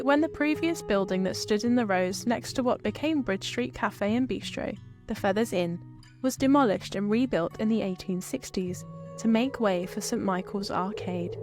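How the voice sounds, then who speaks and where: A woman's voice: mid-range voice, normal speed. A woman with a British accent, presenting a history tour in scripted narration. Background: light guitar music.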